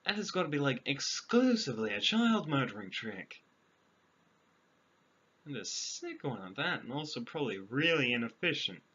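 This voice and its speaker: Deadpan man storyteller on lo-fi audio. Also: medium pitch, moderate pace. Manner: dry, sarcastic, deadpan. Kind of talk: fairy tale reading with deadpan comic asides. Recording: dull lo-fi audio, clear background noise.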